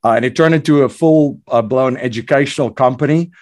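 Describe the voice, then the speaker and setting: A man's voice: mid-range voice, fairly quick, darkish tone. A man in his forties, originally from South Africa, guest on a podcast interview, telling his story with plenty of fillers and restarts over a remote call. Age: 40s. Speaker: guest, investor and entrepreneur.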